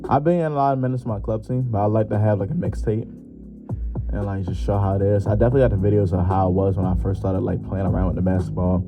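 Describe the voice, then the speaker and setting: A man's voice: on the low side, fairly even intonation, fast talker. A 21-year-old man hosting his own podcast, talking loosely and jokingly with lots of slang and fillers. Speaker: podcast host. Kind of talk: casual solo podcast monologue. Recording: muffled lo-fi with music behind.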